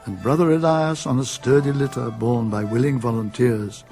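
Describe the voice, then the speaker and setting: A British man narrating a radio drama in a measured, literary storytelling manner. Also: mid-range voice, steady tone, dark tone, soft background music.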